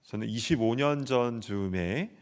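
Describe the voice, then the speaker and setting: A man's voice: medium pitch, a little slow, clear, resonant tone. A man in his forties from South Korea, preaching a sermon as pastor and telling a personal story earnestly. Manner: earnest storytelling, shouting out quoted soldier replies. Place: church sanctuary. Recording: long hall reverb.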